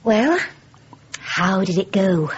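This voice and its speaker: A woman playing an affectionate, teasing, practical wife with a husky voice and an English accent in a radio drama. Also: medium pitch, lively intonation, slow, slight background noise. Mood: gently curious. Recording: dull, muffled radio recording.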